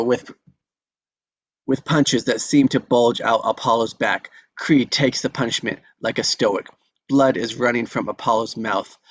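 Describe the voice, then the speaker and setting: A man's voice: medium pitch, fairly bright tone. A man narrating the action of a film script at a table read.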